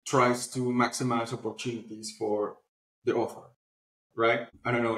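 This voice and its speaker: A man's voice: medium pitch, a bit bright. Male interview guest, informal explainer, mid-range voice, echoey room sound over a video call. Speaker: founder of a publishing platform, podcast guest. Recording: roomy echo, online video call.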